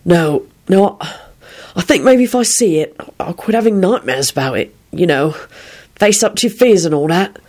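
A woman voicing a patient, protective but nervous male character, speaking in a measured way in a dramatized storybook reading. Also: fairly low pitch, animated. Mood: uneasy.

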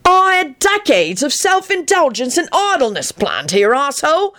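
A woman voicing a brash, vain, hot-headed young man in an audiobook story, loud and cocky. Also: high voice, sing-song, moderate pace, no room sound, clean studio sound, voice pushed up, exaggerated voice. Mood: angry.

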